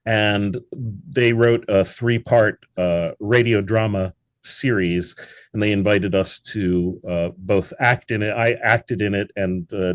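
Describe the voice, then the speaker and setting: A man's voice: fairly low pitch, no echo. An American man speaking as an interview guest in an articulate, reflective, conversational way. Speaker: interview guest, audio drama producer.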